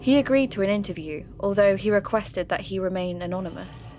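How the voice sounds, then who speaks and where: A woman playing a polite, curious radio host who investigates mysteries for a podcast, speaking in a measured, questioning way. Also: medium pitch, a little mellow, faint music behind, natural voice. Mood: measured, intrigued. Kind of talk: scripted narration and phone interview.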